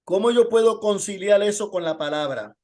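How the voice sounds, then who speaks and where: High-pitched male pastor teaching. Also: moderate pace, a little mellow. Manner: rhetorical questioning. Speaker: pastor teaching a Bible lesson. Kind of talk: informal sermon and Bible teaching with testimony.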